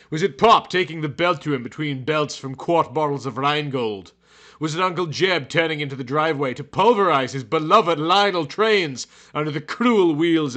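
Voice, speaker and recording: A man's voice: on the high side, sharp tone. Theatrical man narrating a brooding story. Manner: theatrical, brooding, overwrought delivery. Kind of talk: dramatic scripted narration.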